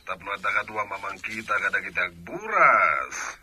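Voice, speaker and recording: A man's voice: sits low, sing-song, normal speed, sharp tone, husky, heavily acted. A man with an Ilocano accent playing a hearty, loyal middle-aged country man in a radio drama. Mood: hearty, pleased.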